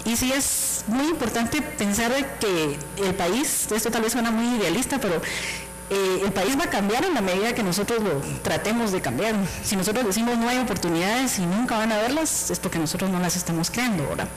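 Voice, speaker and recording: A woman's voice: medium pitch, expressive, a little fast, soft background music, poor audio. A woman professor from Guatemala, speaking earnestly on a university panel. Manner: earnest, idealistic. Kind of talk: panel talk.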